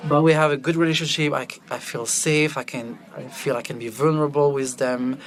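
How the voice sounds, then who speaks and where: A man's voice: medium pitch, fairly quick, faint music behind. Earnest Belgian-born man, French native. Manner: earnest, animated, laughs easily. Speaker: podcast guest, film director. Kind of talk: candid personal conversation.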